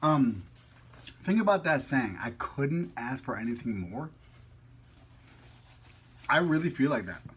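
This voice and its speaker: A man's voice: mid-range voice, big pitch swings, unhurried, pauses often, a bit bright, slightly rough. A man chatting casually and playfully in a food vlog, rambling a little as he talks while eating. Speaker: food vlogger. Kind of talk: casual mukbang chat.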